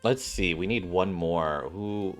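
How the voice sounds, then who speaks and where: A man's voice: sits low, takes it slowly. A man doing casual game commentary, reading dialogue aloud and stumbling over written words as he reacts. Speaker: game streamer. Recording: background game music. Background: game music.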